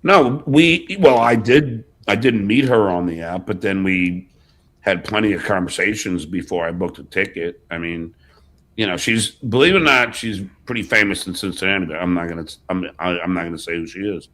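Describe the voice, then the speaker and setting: A man's voice: low-pitched, normal speed, a bit bright. A man hosting a livestream in casual chat, blunt and often swearing. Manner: profane, blunt. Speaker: host of a livestream show.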